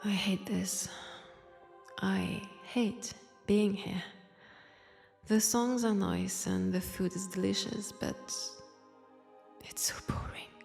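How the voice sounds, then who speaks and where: Slow, French-accented vampire woman played by an actress. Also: mid-range voice, steady tone, vocal fry, a little mellow, faint music behind. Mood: bored, weary. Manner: hesitant, sighing, drifting into near-whispers. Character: centuries-old female vampire in a convent, wistful, wry. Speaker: roleplay audio performer. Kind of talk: intimate audio roleplay monologue. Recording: long church-like reverb.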